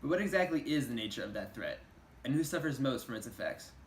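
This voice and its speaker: A young man reading scripted news as an anchor, formal and measured. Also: mid-range voice, big pitch swings. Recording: roomy echo, mic at a distance, faint hiss.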